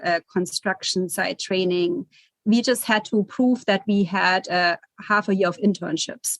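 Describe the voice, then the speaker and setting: A woman's voice: mid-range voice, no echo, low-quality recording. A woman in her forties from Germany with a German accent, a guest architect giving chatty interview answers full of fillers. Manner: chatty, with many fillers and restarts.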